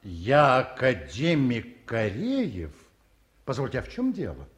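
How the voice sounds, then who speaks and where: A man acting in a radio play as an eminent elderly academician, genteel and brisk, with confident, wry self-mockery. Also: medium pitch, wildly swooping pitch. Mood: puzzled. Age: older.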